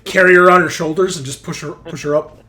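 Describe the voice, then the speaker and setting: A man's voice: a little high, steady tone, fast, sharp tone. A man acting as game master, narrating a tabletop roleplaying game in a casual, profane, bantering way. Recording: music behind the voice. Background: background music.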